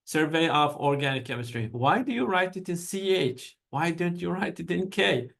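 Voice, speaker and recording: A man's voice: on the high side, expressive, moderate pace, vocal fry, fairly bright tone. A man whose first language is Persian, giving a university chemistry lecture in a chatty way, with many fillers and small grammar slips.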